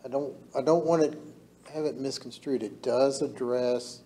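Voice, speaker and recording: A man on the town planning staff, explaining staffing at a meeting in a matter-of-fact, halting way. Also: mid-range voice, steady tone, mellow. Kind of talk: meeting discussion. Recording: faint room noise, meeting hall.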